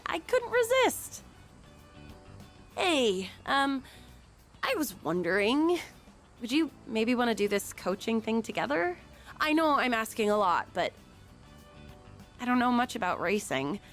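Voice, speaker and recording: Animated, high-voiced teenage girl. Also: unhurried, pauses often, soft background music. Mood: sheepish, grateful. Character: teenage girl, sporty, upbeat and firm team coach. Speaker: student volunteer coach. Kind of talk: anime game dub acting.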